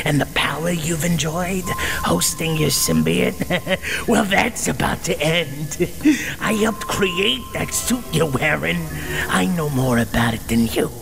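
A man playing a sinister, taunting demon-vampire villain with a drawn-out delivery in a comic book dub. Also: a little high, creaky. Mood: gloating, menacing. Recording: dramatic music behind the voice.

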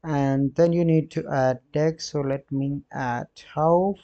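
Male tutorial host, slightly high-pitched and mellow, giving step-by-step narration, lo-fi sound. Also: fairly even intonation, slow. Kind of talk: how-to screen-recording narration. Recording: dull, lo-fi voice-over.

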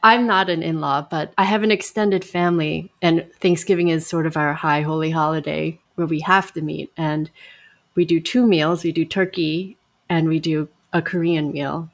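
Low-voiced, thoughtful woman. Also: fairly even intonation, normal speed. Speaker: actor, panel guest. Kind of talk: reflective casual conversation.

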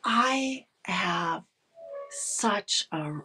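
A woman in her fifties, a political scientist, talking thoughtfully over a video call from a room at home, often pausing to restart her phrases. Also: mid-range voice, lively intonation, bright, forceful tone, breathy edge. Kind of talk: casual video call interview. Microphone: video call webcam.

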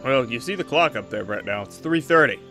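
A man playing an easygoing but firm father, a veteran exorcist in a Minecraft roleplay, talking in a relaxed, reassuring way. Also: mid-range voice, fairly quick, faint music behind. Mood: explaining. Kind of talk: improvised Minecraft anime roleplay.

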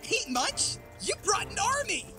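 Man as an excitable high-voiced adventurer. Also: unhurried, over music, full character voice. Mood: excited. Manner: eager, exclaiming. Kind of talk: audio drama acting.

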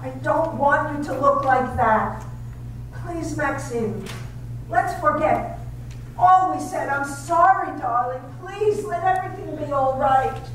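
A woman's voice: sits high, slow. A woman playing a timid, stammering young wife. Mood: pleading. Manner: hesitant, stammering, pleading. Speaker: amateur radio drama actor and narrator. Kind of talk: 1930s radio drama. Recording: echoey, distant, faint hiss.

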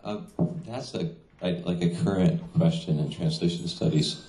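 A man's voice: deep voice, moderate pace, dark tone. A middle-aged man, a translator, talking casually at a reading in a small venue, with many fillers and restarts. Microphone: stand mic in front of seated speaker. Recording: echoey room.

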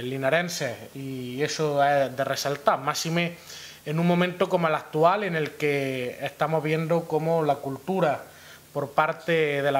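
A young man with an Andalusian Spanish accent giving a formal press conference speech, deliberately setting out his points. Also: on the high side. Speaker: regional youth official. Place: indoor press room at town hall. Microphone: gooseneck table microphone.

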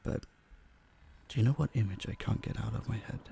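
A man playing an obsessive, possessive vampire captor in an intimate audio drama, hushed, teasing and dominant, menacingly sweet, dipping into whispers. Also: lowish voice, a little fast, gravelly, a little hiss or hum. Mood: teasing menace. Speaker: voice actor. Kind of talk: intimate roleplay audio drama, addressing the listener.

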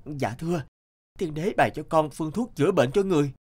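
A man voicing a meek but crafty young palace servant in an animated folk tale, deferential, hesitant and pleading, with music behind. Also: on the high side, brisk, lightly acted. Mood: deferential, cautious.

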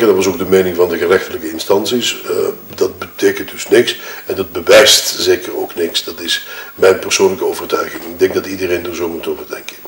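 Low-voiced, hesitant Flemish widower, played by a man. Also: close to an everyday voice. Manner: hesitant, wordy, formal, self-correcting. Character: wronged, self-justifying widowed husband giving his statement. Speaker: actor in an audio murder-mystery game. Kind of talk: acted improvised-sounding testimony. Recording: roomy echo.

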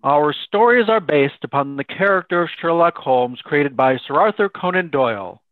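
A man's voice: fairly high pitch, expressive, faint music behind, lightly acted. A man playing a courteous, formal radio announcer in a 1930s-style radio drama, over a video call. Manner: formal, measured announcer delivery. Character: courteous male radio announcer, Mr. Bell, visiting Watson. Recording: thin phone-like video call sound.

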